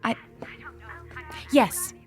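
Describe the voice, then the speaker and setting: A woman's voice: extremely slow, whispered, faint music behind. An actress in a radio drama playing an intense, superstitious young woman who talks urgently and conspiratorially. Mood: earnest, conspiratorial.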